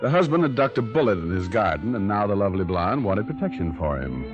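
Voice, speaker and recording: An actor playing a flippant American private detective in 1940s radio style, with glib, deadpan quips. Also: male voice, fairly low pitch, quick pace, highs cut off, music in the background. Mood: wry narration.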